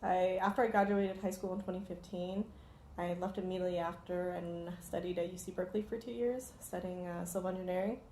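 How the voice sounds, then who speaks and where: A student in her twenties speaks in a measured, even tone that rarely rises or falls. Her voice has a gravelly fry to it. The room adds noticeable echo. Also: on the low side, a little hiss or hum. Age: 20s. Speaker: student interviewee. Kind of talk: casual reflective talk.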